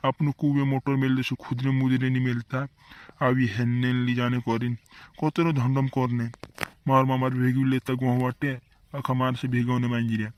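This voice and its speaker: A man's voice: medium pitch, flat delivery, moderate pace, close to an everyday voice. A man voicing a practical, insistent villager in a comedy cartoon, with a rural western Madhya Pradesh accent and repetitive lines.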